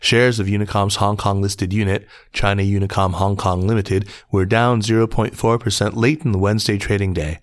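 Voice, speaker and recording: A man reading scripted business news as a podcast host, in a measured, formal newsreader delivery. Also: lowish voice, level tone, no echo. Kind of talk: scripted news narration, reading articles aloud.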